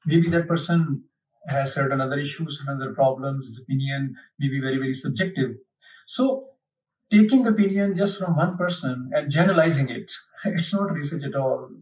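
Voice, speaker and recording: A man giving an unhurried explanatory lecture, pausing often with fillers as he explains. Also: mid-range voice, darkish tone. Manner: unhurried, hesitant, many fillers. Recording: dull lo-fi, mic at a distance.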